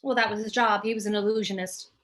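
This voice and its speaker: A woman's voice: medium pitch, level tone, fast, a bit bright, close to an everyday voice. A woman playing a cool, unsentimental widow with a dry, morbid manner in a script table read.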